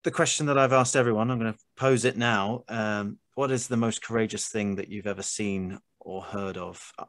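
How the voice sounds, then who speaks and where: A man's voice: on the low side, fairly even intonation, moderate pace, hardly any pauses, fairly bright tone, dry recording, clean studio sound. A British man hosting a casual conversational interview, talking earnestly and rambling a little as he thinks. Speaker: podcast host.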